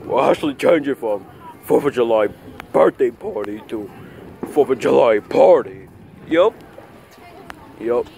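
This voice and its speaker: A man improvising a plush toy skit outdoors, talking in a rambling, repetitive, halting way into a handheld phone. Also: mid-range voice, lively intonation, creaky, darkish tone. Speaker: puppeteer of plush toys. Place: outdoors on grass at night. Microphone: handheld phone camera close by. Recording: noisy outdoor phone video recording.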